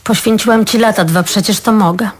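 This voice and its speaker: A woman's voice: medium pitch, a little fast, breathy edge, lightly acted. An actress playing a sardonic woman sparring with her jealous lover in a stage drama, giving dry, curt retorts. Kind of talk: theatrical stage dialogue.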